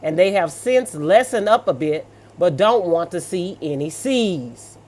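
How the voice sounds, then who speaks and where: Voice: mid-range voice, animated, a little slow, darkish tone. An American speaker with a sassy, blunt, colloquial manner, reading stories aloud and reacting with off-the-cuff commentary.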